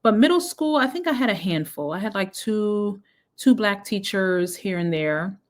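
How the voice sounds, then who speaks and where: A woman's voice: mid-range voice, lively intonation, moderate pace. A woman in her thirties, born in Jamaica, hosting an education research channel, chatting casually and reading out study abstracts, often correcting herself.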